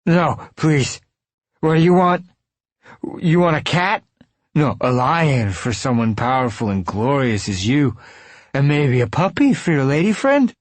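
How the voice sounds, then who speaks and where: A shrill, slurred drunk, far higher than a normal man's voice. He wheedles and boasts in a loud, frantic rush, like a cornered small-time hustler in an audiobook. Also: lively intonation, a little slow, no echo. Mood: begging, frightened. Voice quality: high, slurred. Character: panicked drunken man, pleading hustler with a high slurred voice. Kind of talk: audiobook character acting.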